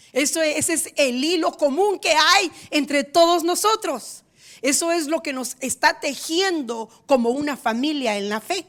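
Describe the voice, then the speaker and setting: A woman pastor preaching a sermon in a rhythmic, repetitive style, moving between loud stressed lines and quiet asides. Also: high-pitched. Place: church in San Diego.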